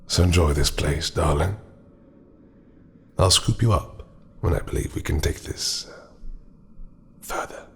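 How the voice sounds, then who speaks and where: A man playing an immortal, possessive yet tender deity in a dark fantasy romance audio roleplay, speaking intimately and often sinking into murmurs. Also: very deep voice, a little hiss or hum, full character voice. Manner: intimate, slow, dropping into murmurs and whispers. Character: immortal male deity, possessive, tender, darkly seductive ruler.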